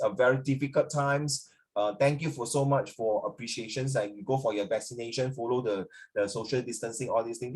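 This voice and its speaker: A man's voice: medium pitch, slightly rough. A man with a Singaporean English accent, recovering from flu, teaching a social studies class over a video call, switching between English and Mandarin and checking in with students by name.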